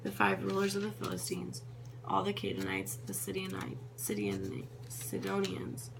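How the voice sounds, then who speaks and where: A woman's voice: lowish voice, gravelly. A woman reading scripture aloud, sometimes stumbling over names and correcting herself. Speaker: Bible reader. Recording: noisy with a steady hum.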